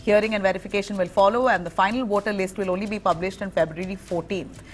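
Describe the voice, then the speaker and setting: A woman's voice: mid-range voice, fairly even intonation, quick pace, a little hiss or hum. Indian woman anchoring a live news bulletin.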